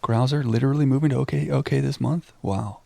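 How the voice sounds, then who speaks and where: A man's voice: medium pitch, fairly quick, gravelly, mellow, no echo. A man hosting a game livestream, chatting casually and self-deprecatingly with viewers and reading on-screen text aloud into a close microphone.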